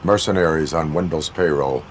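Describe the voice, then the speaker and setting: An actor playing a stoic, dutiful cyborg police officer in a video game, speaking in formal, clipped, deadpan sentences. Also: male voice, rumbling low, moderate pace, slight background noise.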